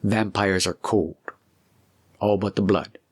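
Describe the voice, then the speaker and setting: A man's voice: on the low side, steady tone, slow pace, husky. A man voicing a wry young man back from near death in a storybook, speaking in a dry, teasing manner.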